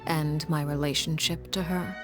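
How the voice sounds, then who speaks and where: Cold, low-voiced commanding woman acted out. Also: fairly even intonation, music in the background, lightly acted. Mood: calculating. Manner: formal, measured, condescending. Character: cold, composed, commanding woman, courteous but menacing negotiator. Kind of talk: video game voice acting.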